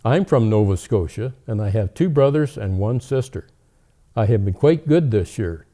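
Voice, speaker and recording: A man's voice: medium pitch, mellow, natural voice. A man playing kindly old Father Christmas as an avuncular co-host of a children's holiday show. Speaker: storytime co-host.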